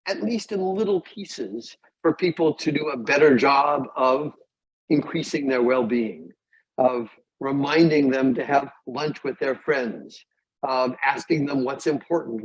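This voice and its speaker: A man's voice: a little high, slightly rough. A man who is a native English speaker, lecturing as a psychology professor in plain, short, emphatic sentences. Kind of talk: academic lecture and discussion. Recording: dull, muffled lo-fi audio.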